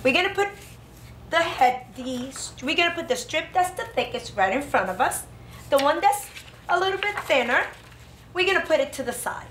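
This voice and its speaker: Preschool teacher, female, high-pitched, sing-song craft instruction for little kids, roomy classroom sound with slight noise. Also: expressive, moderate pace, a bit bright. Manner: sing-song, child-directed, exclamatory. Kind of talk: instructional craft lesson for young children. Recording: roomy classroom echo, faint background noise.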